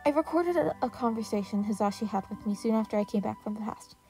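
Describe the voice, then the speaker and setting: A woman's voice: a little high, animated, fast, dark tone, faint music behind, close to an everyday voice. A woman voicing a clever, guarded teenage boy in an audio story, explaining in a casual, determined, matter-of-fact way.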